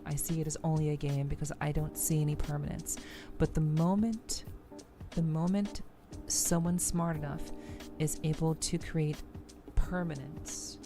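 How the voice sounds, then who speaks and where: Low-pitched woman, musing streamer. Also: steady tone, a little slow. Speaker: streamer hosting a game playthrough. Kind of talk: reflective casual commentary to viewers. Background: music.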